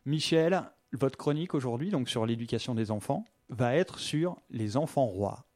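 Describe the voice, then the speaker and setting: A man's voice: mid-range voice, expressive, moderate pace, darkish tone. A man hosting a casual local radio show from a studio, chatty and leisurely, with plenty of fillers as he introduces his columnists. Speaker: radio host.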